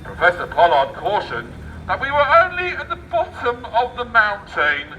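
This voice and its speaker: A man's voice: high voice, wildly swooping pitch, moderate pace, sharp tone. A man reading the news aloud as satirical street oratory, with theatrical, sarcastic mock enthusiasm. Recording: distant outdoor mic, faint street noise.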